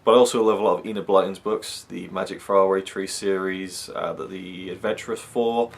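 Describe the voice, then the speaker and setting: Brief: young man from Wales, deep voice, rambling chatty vlogger. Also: fairly even intonation, a little fast, gravelly. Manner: rambling, chatty, many fillers. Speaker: YouTube reviewer. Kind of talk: casual chat to camera.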